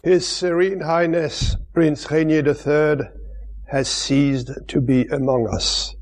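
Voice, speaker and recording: Solemn, very slow male announcer. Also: fairly high pitch, fairly even intonation, a little mellow, rough recording. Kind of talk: formal scripted announcement.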